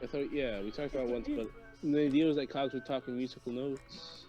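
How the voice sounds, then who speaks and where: A man's voice: mid-range voice, steady tone, a little fast, mellow, rough recording. Young male co-host, jokey, playful; music bed underneath. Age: young adult. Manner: jokey, playful, puts on silly voices. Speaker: podcast co-host, writer. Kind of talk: goofy casual chat.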